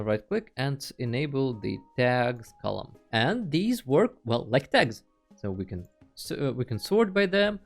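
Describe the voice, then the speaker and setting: Male tutorial host, animated mid-range voice, casual and playful delivery, faint music underneath. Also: medium pitch. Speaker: host of a video game tutorial channel. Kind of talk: casual tutorial screen narration.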